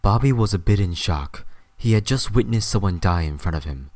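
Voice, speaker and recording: Male narrator, very low pitch, dry sound, scripted storytelling. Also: expressive, moderate pace, darkish tone, no echo.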